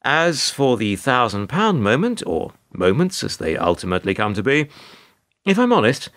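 Male actor as put-upon hotel receptionist for radio drama: resigned, wordy, lively intonation, dry close sound. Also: fairly low pitch, dry recording. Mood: weary. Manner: wry, resigned, long-winded and formal. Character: world-weary, put-upon male hotel receptionist narrating his troubles. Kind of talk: radio play monologue and dialogue.

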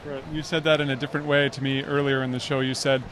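Fast-talking man interviewing at an expo. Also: mid-range voice, flat delivery, a bit bright, a little hiss or hum. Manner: quick, friendly, prompting questions. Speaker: interviewer, publisher. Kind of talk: trade show interview. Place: busy indoor expo hall booth. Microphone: handheld mic.

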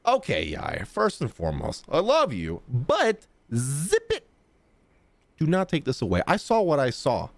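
A man's voice: a little high, huge pitch swings, unhurried, creaky, no room sound. A man in his thirties giving casual live reaction commentary on a game stream, slangy and playful. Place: indoor streaming room. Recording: game music behind the voice.

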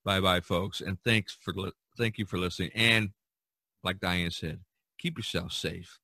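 An older man hosting a podcast, chatting casually in a halting, folksy way. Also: deep voice, steady tone, pushed and bright, slightly rough, no room sound, studio quality. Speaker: podcast host. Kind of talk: casual chat and podcast sign-off.

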